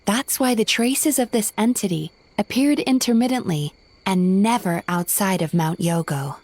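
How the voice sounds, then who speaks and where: A woman playing a calm, studious young healer in a video game, speaking gently and thoughtfully as she explains. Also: mid-range voice, normal speed, no room sound, lightly acted. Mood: explanatory, serious. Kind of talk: video game cutscene acting.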